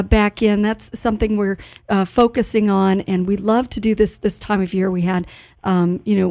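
A woman's voice: medium pitch, a little mellow, no room sound. An American woman chatting informally as host of a live radio interview. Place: radio studio.

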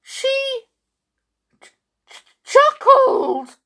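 Woman acting a shrill, wailing storybook king. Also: sing-song, extremely slow, a bit bright, full character voice. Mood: shocked outrage. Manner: sobbing, shrill, stammering outrage.

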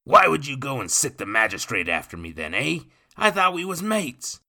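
A man voicing a menacing criminal stepfather who talks in gruff, ungrammatical street slang. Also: on the low side, very animated, moderate pace, sharp tone, voice pushed up, heavily acted. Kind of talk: audiobook character voice.

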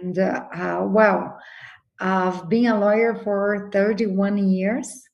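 Slow, hesitant Brazilian woman guest. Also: mid-range voice, steady tone, gravelly, highs cut off. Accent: Brazilian Portuguese. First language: Portuguese. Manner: hesitant, pauses with many fillers. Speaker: guest, attorney and novelist. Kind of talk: interview answers.

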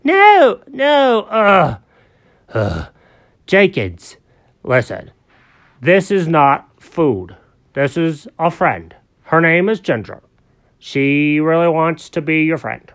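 A woman's voice: low voice, very animated, slow pace, long pauses, pitched above the performer's own voice, full character voice. A woman voicing a kindly adult man who patiently explains things to his cat. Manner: gentle, patient and coaxing. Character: patient kindly adult male pet owner.

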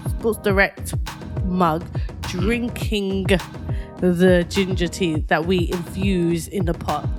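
A woman co-hosting a casual podcast, telling stories in an animated way and laughing often. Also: on the low side, slow, over music. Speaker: podcast co-host. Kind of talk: casual chat.

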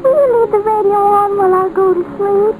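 A woman's voice: very high-pitched, fairly quick, low-quality recording. A woman playing a sweet, affectionate little child at bedtime in a 1940s radio drama. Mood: sweet, sleepy. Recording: old radio recording with loud music behind. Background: loud music.

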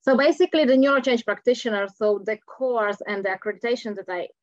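A woman's voice: fairly high pitch, fast talker, no echo. A middle-aged woman with a Czech accent, a coach talking earnestly as a podcast guest and sometimes searching for words. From Czech Republic. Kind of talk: podcast interview. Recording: dull lo-fi audio.

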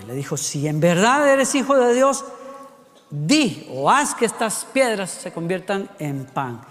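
A Costa Rican man with a high voice preaches a sermon. His delivery is animated, full of rhetorical questions and little acted-out voices. A long hall reverb trails after each phrase. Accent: Costa Rican Spanish. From Costa Rica.

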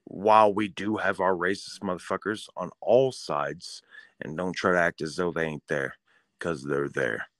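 A man's voice: sits low, fairly even intonation, moderate pace, a bit bright, no room sound, studio quality. A man co-hosting a casual podcast, ranting in a fired-up, profane way. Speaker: podcast co-host.

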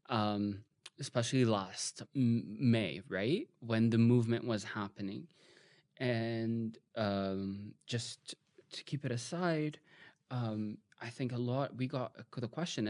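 A man talking about his identity as an interview guest, reflective and halting, often restarting his sentences. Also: medium pitch, a little mellow, dry recording. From Jordan and Palestine. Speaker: guest, fashion designer. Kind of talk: conversational interview.

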